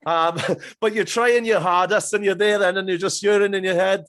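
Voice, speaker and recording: A man's voice: high voice, fairly even intonation, normal speed, a bit bright. A man hosting a podcast interview, chatting eagerly and rambling through memories. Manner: enthusiastic, rambling with fillers. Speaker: podcast host. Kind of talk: casual interview chat.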